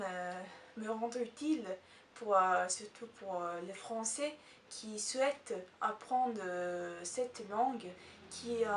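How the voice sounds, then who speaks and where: A woman's voice: fairly high pitch, slight background noise. A woman from Italy whose first language is Italian, teaching in French with an Italian accent. She talks casually and hesitantly, with many filler sounds and restarts. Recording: echoey room, mic at a distance.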